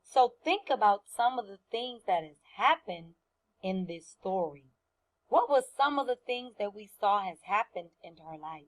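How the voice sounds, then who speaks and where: A woman teaching first graders through a read-aloud, speaking deliberately and with emphasis, often questioning the children. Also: mid-range voice, animated, rough recording.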